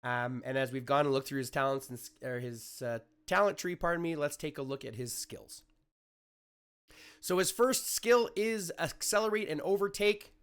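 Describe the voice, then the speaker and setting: A man with a slightly raspy voice, still getting over an illness, walking viewers through a strategy game in a chatty way, often correcting himself with fillers. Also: on the high side, lively intonation, normal speed, a bit bright. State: recovering from illness. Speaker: gaming YouTuber. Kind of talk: casual game guide commentary.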